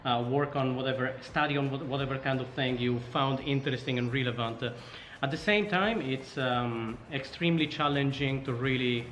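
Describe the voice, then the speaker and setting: A man's voice: mid-range voice, moderate pace, few pauses, fairly bright tone. Echoey Italian-accented man telling his story. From Sardinia, Italy. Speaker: startup co-founder, interview guest. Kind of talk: casual interview storytelling. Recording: echoey room, faint background noise.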